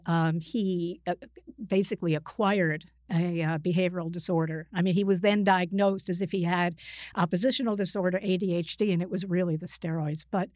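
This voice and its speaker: A woman's voice: on the low side, darkish tone, no echo, studio quality. A middle-aged woman from Queens, New York, a psychiatrist guest explaining things conversationally, with hesitations and self-corrections. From Queens, New York, United States. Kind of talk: conversational personal account.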